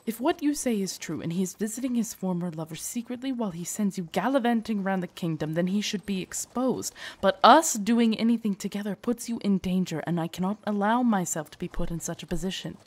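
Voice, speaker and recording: A woman's voice: medium pitch, expressive, fairly quick, few pauses, a little mellow, lightly acted. A woman playing a devoted, earnest female knight in an audio drama, speaking in a formal, courtly way that turns hesitant and shy. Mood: troubled, protective. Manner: formal, courtly, hesitant and stammering when flustered. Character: devoted female knight, tender, earnest, shyly lovestruck royal guard. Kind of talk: roleplay audio drama, intimate scripted monologue.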